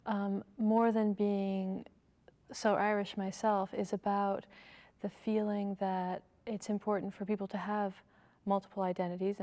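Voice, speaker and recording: Flat, mellow-voiced woman speaking thoughtfully. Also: mid-range voice. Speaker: activist interviewee. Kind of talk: interview answers.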